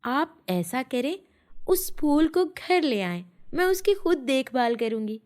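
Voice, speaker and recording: A woman voicing a kind, earnest young wife who longs for a child in an animated fairy tale. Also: high voice, slow pace, voice pushed up, heavily acted. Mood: eager, caring. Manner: earnest, caring.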